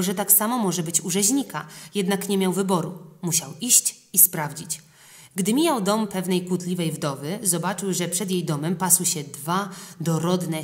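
Lower-voiced woman reading a children's tale. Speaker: audiobook reader. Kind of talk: expressive children's storybook narration.

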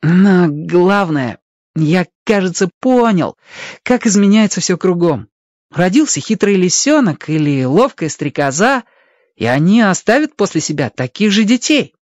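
A man voicing an excitable, curious young boy hero in a radio story, talking with eager wonder. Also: sits high, a little slow, no echo, pitched above the performer's own voice. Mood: enthusiastic musing.

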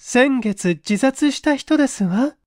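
Casting: actress as distressed young working woman, very high voice, formal and hesitant, dry studio sound, mystery audiobook. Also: animated, takes it slowly, no room sound. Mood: resolved, earnest. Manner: formal, deferential, hesitant speech. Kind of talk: dramatized audiobook reading.

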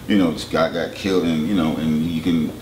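Fast-talking deep-voiced Jamaican-born man. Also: steady tone, a little mellow, slight background noise. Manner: laid-back, rambling, many "you know"s. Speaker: host. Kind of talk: casual interview chat. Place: indoor studio set with couch. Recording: roomy studio with music behind.

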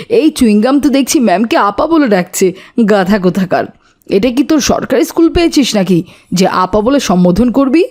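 A woman's voice: a little high, lively intonation, normal speed, darkish tone. A woman voicing an arrogant rich schoolboy bully in a cartoon, with a taunting, sneering way of talking. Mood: scornful. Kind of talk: cartoon voice acting.

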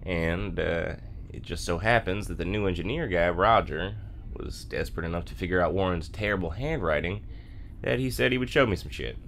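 A man's voice: sits low, moderate pace, gravelly, a bit bright, a little hiss or hum. Wry, bookish smartass played by a man. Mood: dryly casual. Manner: dry, casual, sarcastic. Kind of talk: audio drama acting.

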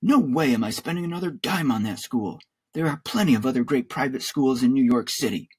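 Guy doing a stern fed up dad in an audiobook, husky voice, lively pitch, firm and scolding. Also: normal speed, full character voice. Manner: firm, reproving. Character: stern exasperated father of a schoolboy.

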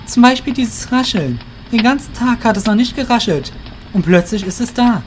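A man's voice: very high-pitched, lively intonation, moderate pace, pitched above the performer's own voice. Guy doing a jumpy young rogue in a fantasy audio play, raised voice, some background noise. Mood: anxious, urgent.

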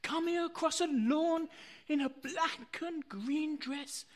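A woman playing an amiable, sentimental old gentleman in a radio play, husky and halting. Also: sits high. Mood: wistful, tender. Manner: halting, hesitant, wistful.

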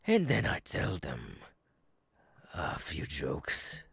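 A male voice actor playing a menacing, giggling, unhinged killer clown monster in a horror ASMR roleplay, raspy and gravelly, sliding between breathy whispers and cackles. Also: rumbling low, huge pitch swings, very slow, fairly bright tone, no room sound, clean studio sound, full character voice. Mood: sinister, gloating.

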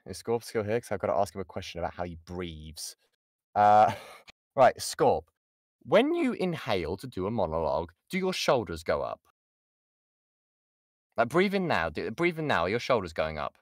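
A man's voice: fairly low pitch, very animated, normal speed, no echo, clean studio sound. A British man giving an informal voice acting lesson and answering questions, often restarting his sentences and stressing key points. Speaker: voice actor giving a workshop. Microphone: close mic on an online voice chat.

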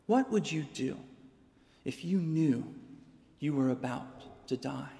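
A young American man, a pastor, preaching a sermon from a pulpit with measured, deliberate pauses. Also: fairly high pitch, lively intonation, gravelly, warm tone. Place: large church sanctuary, preaching from a pulpit. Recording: long church reverb.

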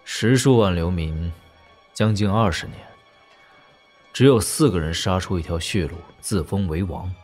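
A man with a fairly low voice recounts a scripted story. He is measured and wry in delivery, while faint music plays underneath. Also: darkish tone. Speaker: narrator.

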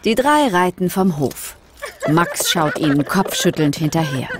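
A woman's voice: fairly low pitch, big pitch swings, measured pace, crowd noise. A woman narrating a scripted children's audio story.

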